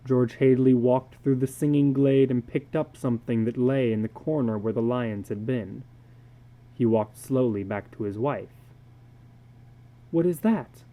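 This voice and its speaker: A man giving a measured, deliberate dramatic reading of a literary story as its narrator. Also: medium pitch, fairly even intonation, narrow, tinny audio.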